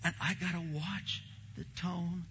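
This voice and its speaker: A pastor preaching a sermon in a church, a man with a big voice who swings from low, slow stresses to loud, emphatic bursts. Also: little pitch movement, husky, long reverb tail, lo-fi sound.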